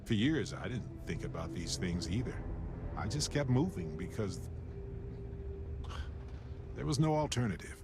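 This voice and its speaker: A man playing a warm, sincere middle-aged space explorer in a video game, speaking in a measured, wistful way. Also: on the low side, animated, over music. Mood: wistful, reflective. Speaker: video game character actor. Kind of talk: dramatic video game dialogue.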